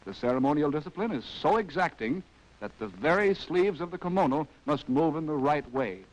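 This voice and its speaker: Animated American man, vintage newsreel-style narrator. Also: on the high side, fairly quick, poor audio. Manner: wry, arch, theatrical phrasing. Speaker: narrator of a military training film. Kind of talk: scripted 1940s documentary narration. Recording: vintage 1940s film soundtrack.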